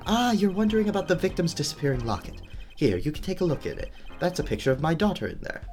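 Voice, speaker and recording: A man voicing a calm, cryptic older mentor in a video game, knowing and measured. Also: a little high, faint music behind, voice pushed up. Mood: relaxed. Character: cool, cryptic older male mentor, former lawyer and poker player. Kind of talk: video game dialogue read aloud.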